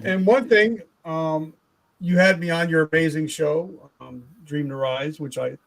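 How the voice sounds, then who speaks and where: A man hosts a podcast interview, enthusiastic and halting, with plenty of ums. His voice sits a little high for a man. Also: steady tone, vocal fry, fairly bright tone, a little hiss or hum, rough recording. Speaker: podcast host. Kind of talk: interview questions.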